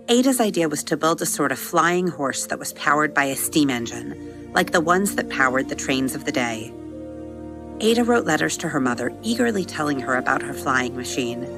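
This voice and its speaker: Low-voiced woman narrating a children's story, slightly creaky. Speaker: podcast host and story narrator.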